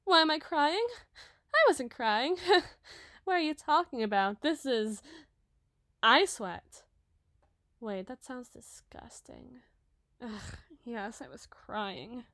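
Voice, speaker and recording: A sweet, dramatic young giantess , played by a woman, speaks in a high, girlish voice. Her delivery is chatty and openly emotive, in the manner of an audio roleplay. The sound is very dry, with no room around it. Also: lively intonation, a bit bright, no room sound. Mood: embarrassed, tearful. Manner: emotive, chatty, halting when flustered.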